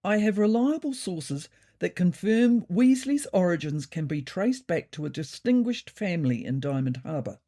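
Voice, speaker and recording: Probing reporter for an animal tale, voiced by a woman: deliberate, accusing, persistent, mellow tone with animated emphasis. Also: on the low side. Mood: pointed, accusing. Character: persistent, probing newspaper reporter questioning cat politicians. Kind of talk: audiobook storytelling.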